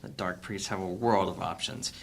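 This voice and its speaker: A man playing a roguish, sardonic adventurer in a fantasy audio drama, with a brisk, wry delivery. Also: deep voice, steady tone, gravelly, a bit bright, full character voice. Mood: urgent.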